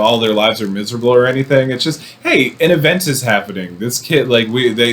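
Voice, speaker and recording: A man giving a casual, rambling movie review with wry, animated asides. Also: medium pitch, slight background noise. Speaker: film commentator.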